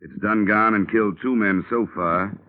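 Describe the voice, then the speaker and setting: A man's voice: fairly low pitch, moderate pace, lightly acted. An actor playing a wisecracking male insurance investigator in a 1950s radio drama, with a wry, clipped tough-guy delivery. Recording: tinny old radio broadcast.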